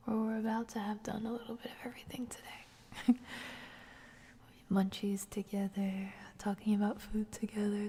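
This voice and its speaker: A woman doing ASMR, whispering and chatting softly in a gentle way. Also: medium pitch, slight background noise. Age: adult. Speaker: ASMR streamer. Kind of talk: soft ASMR whispering and chat.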